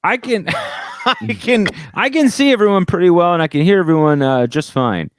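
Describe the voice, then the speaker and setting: Dude riffing on a comedy podcast, voice a bit high, jokey casual talk, dry room. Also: male voice, moderate pace, no echo.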